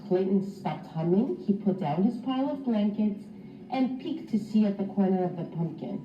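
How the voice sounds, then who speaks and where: A woman teacher reading a children's storybook aloud in an expressive, instructive way for young pupils. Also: medium pitch, moderate pace, faint music behind. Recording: roomy, distant mic, slightly dull.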